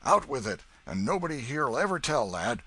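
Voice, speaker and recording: Casting: man playing a bluff, booming old farmer, kindly and commanding, lively in pitch. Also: a little fast. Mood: hearty. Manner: loud, hearty and commanding.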